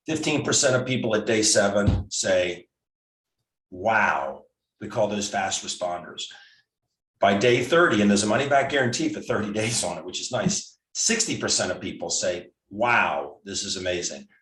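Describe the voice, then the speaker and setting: A man's voice: on the low side, a bit bright. A man in his sixties, a physician guest, explaining things step by step in a casual, conversational way with frequent restarts. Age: 60s. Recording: roomy and distant from mic.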